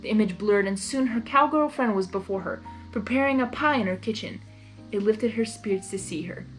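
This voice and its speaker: A woman's voice: mid-range voice, fairly quick, soft background music, poor audio. A woman reading fan fiction aloud as a narrator, with casual, unrehearsed asides.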